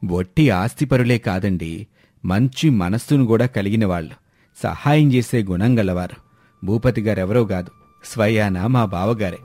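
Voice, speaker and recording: A man playing a wise, polite village elder in a dramatised storybook tale, speaking in a measured way. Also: fairly low pitch, a little mellow, dry recording, soft background music, natural voice. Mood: persuasive, courteous. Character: courteous, wise village elder, male, calm matchmaker.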